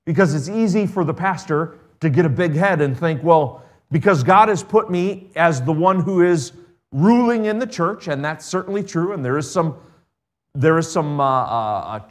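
A man preaching a sermon as the pastor of his church, earnest and emphatic, dwelling slowly on stressed phrases. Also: a little high.

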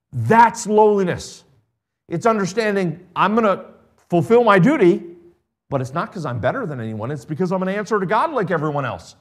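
A man preaching a sermon as the pastor of his church, earnest and emphatic, dwelling slowly on stressed phrases. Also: fairly high pitch.

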